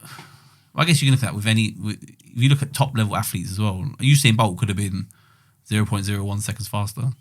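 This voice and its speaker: A man, co-hosting a podcast in relaxed, rambling, reflective chat. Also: lowish voice, fast. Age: 20s. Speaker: podcast co-host. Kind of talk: casual chat.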